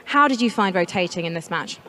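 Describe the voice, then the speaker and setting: A woman's voice: on the low side, lively intonation, a little fast, fairly bright tone, slight background noise. A woman working as an esports on-site interviewer, bubbly and teasing with the players. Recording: echoey arena hall.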